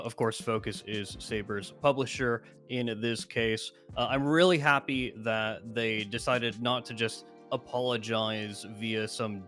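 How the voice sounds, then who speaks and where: A man's voice: on the low side, fairly bright tone. A man giving casual gaming commentary as a guest, explaining his opinions at length.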